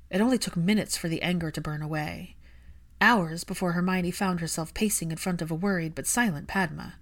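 A woman reading scripted fiction aloud as an audiobook narrator. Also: fairly low pitch.

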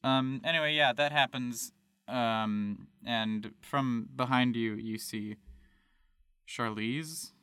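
A game master narrating a tabletop game, halting and restarting often. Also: medium pitch, a bit bright, smooth, no room sound.

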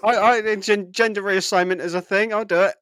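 A man co-hosting a podcast in casual conversation, halting and repeating words as he builds his point. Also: high-pitched, steady tone, a bit bright, clean tone, dry recording, studio quality. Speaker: podcast co-host. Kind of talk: casual chat.

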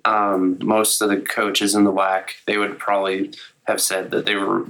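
A young man co-hosts a sports podcast, his voice still slightly raspy as he recovers. He talks in a fairly low, monotone register and keeps it conversational, with many fillers. The delivery is laid-back and steady. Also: moderate pace, poor audio. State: slightly raspy after illness. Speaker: co-host of a college sports podcast. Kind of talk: casual sports chat.

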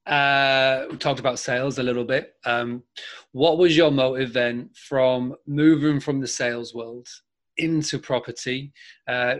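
A man's voice: medium pitch, fairly bright tone. A man hosting a podcast, reading its intro and asking questions in an upbeat way. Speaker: podcast host.

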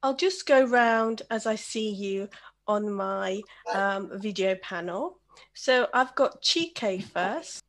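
A woman's voice: mid-range voice, animated, takes it slowly. A British Bangladeshi woman hosting a podcast over a video call, reading an introduction and then handing the floor to each guest. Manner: reads an introduction, then chats and hands over by name. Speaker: podcast host.

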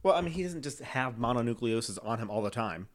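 A man's voice: mid-range voice, lively intonation, fast. A man co-hosting a casual podcast, teasing and laughing often between fillers. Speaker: podcast co-host. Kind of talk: casual chat.

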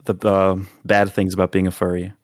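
Low-voiced man hosting, bantering. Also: completely flat delivery, normal speed, no echo. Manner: bantering, steers the discussion with questions. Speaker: podcast host. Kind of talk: casual podcast chat.

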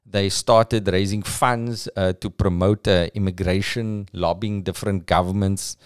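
A man's voice: low-pitched, fairly even intonation, measured pace, smooth, no echo, clean studio sound. Casual young man telling history. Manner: casual and jokey, mixing slang with history. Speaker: podcast host. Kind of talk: podcast monologue on history.

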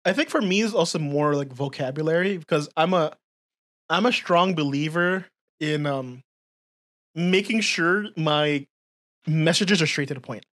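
A woman's voice: deep voice, unhurried. A woman in her twenties with a slight Filipino accent, chatting informally as a podcast co-host and explaining her points emphatically. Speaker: podcast co-host and content creator. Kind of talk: casual podcast chat.

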